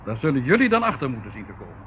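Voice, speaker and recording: An actor playing a knowing, measured and gently condescending informant in an old radio drama. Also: male voice, mid-range voice, sing-song, natural voice. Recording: muffled narrow-band old radio recording, faint noise.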